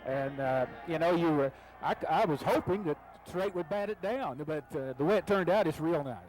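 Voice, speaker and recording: A man giving radio color commentary on a football game, relaxed and conversational. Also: fairly high pitch, moderate pace, soft background music, low-quality recording. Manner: relaxed, conversational analysis with fillers.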